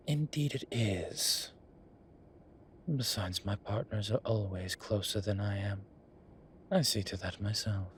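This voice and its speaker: A man playing a suave, vain incubus demon professor in an audio roleplay, speaking in a formal, measured, wryly teasing way. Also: fairly low pitch, slight background noise. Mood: smug, wry.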